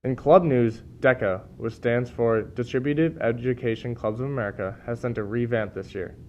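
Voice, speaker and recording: A man's voice: medium pitch, normal speed, darkish tone. A young man reading scripted school news as an anchor. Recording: dull sound with clear background noise.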